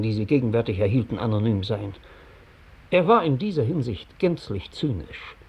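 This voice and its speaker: An actor playing a captured British spy giving testimony in a 1960s German radio drama, precise, deliberate and coolly ironic. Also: male voice, mid-range voice, darkish tone, slightly rough. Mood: measured, bitter.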